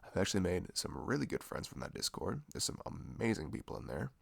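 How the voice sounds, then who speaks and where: A man's voice: low voice, big pitch swings, fast, gravelly, no echo. A man making a casual, chatty announcement as an audio creator introducing his show. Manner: chatty, informal.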